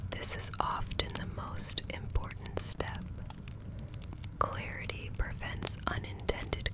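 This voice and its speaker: Lady doing a serene fantasy law consultant for asmr, all whispered, super slow n soothing, careful phrasing. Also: lightly acted. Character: serene, gentle female magical law consultant, soft-spoken and careful. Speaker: ASMR roleplayer.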